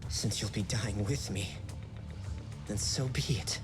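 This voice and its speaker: A man's voice: lowish voice, slow pace, music in the background. A man voicing a bitter, dramatic avenger in a video game. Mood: grim, resigned.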